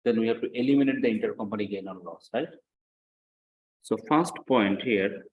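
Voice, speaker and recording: A man with a slightly low voice gives a classroom lecture. He explains in a didactic, step-by-step way, repeating himself for emphasis. He often stops to check understanding with brief questions. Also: moderate pace, phone-quality sound, a little hiss or hum. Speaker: accounting lecturer.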